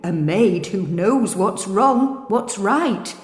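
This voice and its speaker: A solemn magic mirror from a storybook, performed by a woman. It declaims slowly and grandly in rhyme, with light music underneath. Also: mid-range voice, lively intonation, roomy sound, in a large hall. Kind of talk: children's storybook character voice.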